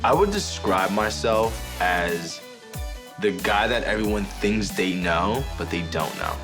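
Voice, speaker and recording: A man's voice: on the low side, lively intonation, takes it slowly. A man in his twenties from the Dominican Republic and New York, telling his own story casually and informally, with plenty of filler phrases. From born in the Dominican Republic, in New York from age eight. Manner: informal and slangy, with frequent "like" and "you know". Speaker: reality show participant, school safety officer and club DJ. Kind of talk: casual personal storytelling to camera. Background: background music.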